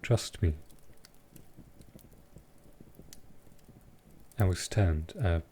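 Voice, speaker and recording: A man playing a gentle, courteous centuries-old vampire in an intimate roleplay audio, soft-spoken and reassuring, with a calming, unhurried delivery. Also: low voice, a little hiss or hum.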